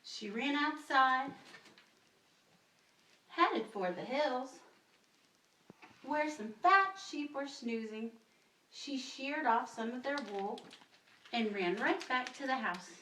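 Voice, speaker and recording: Woman reading a kids story, slow and bright, very expressive, kinda echoey and away from the mic. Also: fairly high pitch. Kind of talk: expressive children's story narration. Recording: roomy, distant mic, faint noise.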